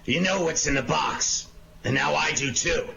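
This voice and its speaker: A man playing a suspicious, sardonic police inspector in a 1940s-style radio detective drama, gruff and accusing. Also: on the high side, moderate pace. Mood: triumphant. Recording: dull, noisy lo-fi audio with clicks.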